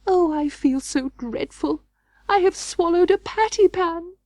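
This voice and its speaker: A woman voicing an excitable, anxious female dog in a storybook reading, flustered and melodramatic. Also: very high voice, unhurried, no echo, voice pushed up. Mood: wailing, panicked. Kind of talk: audiobook storybook character voice.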